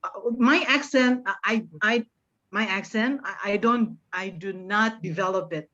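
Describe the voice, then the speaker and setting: A woman's voice: mid-range voice, a little slow, bright, forceful tone. A middle-aged woman from Indonesia with an American-influenced accent, an encouraging teacher giving informal, instructive advice to learners. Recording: dull, lo-fi online meeting audio.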